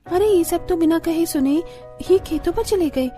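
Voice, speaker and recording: Young village daughter-in-law played by a woman, high mellow voice, earnest, anxious, caring, family cartoon. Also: normal speed, soft background music. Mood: puzzled. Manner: earnest, concerned.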